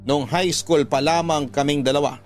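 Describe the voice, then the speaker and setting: A man's voice: a little high, steady tone, moderate pace, soft background music, lightly acted. An actor playing a man who narrates his own eerie countryside memory in a radio story. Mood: reflective storytelling. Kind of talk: first-person dramatic narration of a radio story.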